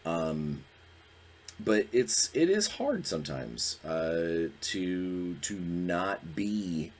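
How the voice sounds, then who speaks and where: A man in his forties with a very low, deep voice, talking on his own as a podcast host. He speaks candidly and reflectively, pausing and restarting as he works through his thoughts, with a faint hum of noise behind him. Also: big pitch swings, a little mellow. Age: 40s. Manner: earnest, reflective, halting. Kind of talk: candid solo talk.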